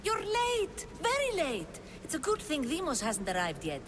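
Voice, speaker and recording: This is a zealous woman cultist in a game cutscene. She is theatrical and effusive, her pitch leaping around dramatically. Long reverb and clear background noise surround her. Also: huge pitch swings, moderate pace. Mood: excited. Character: theatrical, zealous female cultist greeter, eagerly welcoming. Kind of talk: video game cutscene acting. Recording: long hall reverb, noisy ambience.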